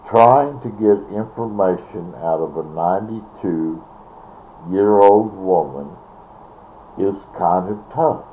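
An older man chatting casually and ramblingly, halting and repeating words, recorded on a device mic in a bedroom. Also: sits low, warm tone. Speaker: vlogger. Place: small bedroom, indoors. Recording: dull, noisy webcam audio.

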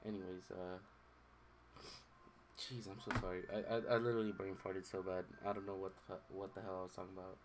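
A man's voice: sits low, flat delivery, a little fast, noisy background. A young man chatting casually while streaming a video game, rambling, losing his train of thought and swearing offhand. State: voice recovering from strain. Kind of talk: casual gameplay commentary. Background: game music.

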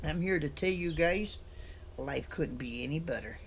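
Middle-aged American man, raspy fry, a touch higher voice, laid-back vlogger. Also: moderate pace, noisy background. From USA. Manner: folksy, relaxed, chatty. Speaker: trucker vlogger. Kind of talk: casual vlog chat. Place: in a parked vehicle, on a home porch outdoors. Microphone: camera mic close up.

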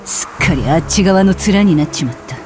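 An actress voicing a brash, bitter rocker witch in a video game, with sardonic, rough-spoken, taunting delivery. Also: fairly low pitch, animated, a little fast, lightly acted. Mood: mocking. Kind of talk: Japanese video game voice acting. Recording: loud music under the voice. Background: loud music.

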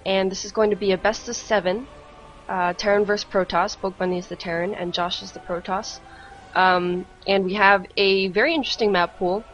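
A woman co-hosting a casual live esports stream, speaking in a somewhat hesitant, halting way. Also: medium pitch, fairly even intonation, lo-fi sound. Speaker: esports co-caster. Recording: music playing under the voice. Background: music.

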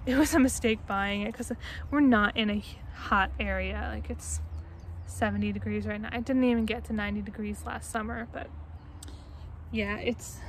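A woman's voice: medium pitch, steady tone, moderate pace, slight background noise. A woman in her twenties with an American accent, narrating a casual, unscripted tour outdoors into a handheld camera. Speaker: vlogger giving a garden tour. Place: outdoors in a backyard garden. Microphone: handheld phone or camera at arm's length.

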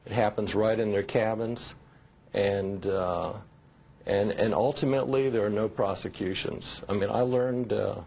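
A man in his fifties with a low, creaky, husky voice. He speaks as a legal expert in a live TV interview, explaining from a remote studio. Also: fairly even intonation, unhurried, darkish tone. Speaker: lawyer guest.

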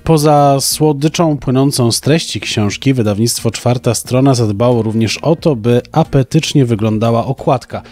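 A man's voice: mid-range voice, moderate pace, few pauses, mellow. A young man co-hosting a podcast, presenting a prepared book review. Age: young adult. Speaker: podcast co-host reviewing books. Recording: music playing behind the voice. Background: background music.

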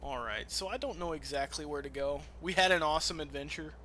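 A man's voice: a little high, a little fast, a little hiss or hum. A man giving casual, profane gameplay commentary as a let's play host. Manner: profane, animated trash-talk.

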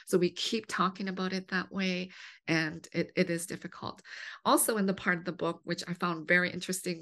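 A woman's voice: on the low side, pushed and bright. A middle-aged woman hosting a podcast interview over a video call, engaged and thoughtful as she asks questions. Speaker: podcast host. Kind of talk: interview conversation. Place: home office with bookshelves.

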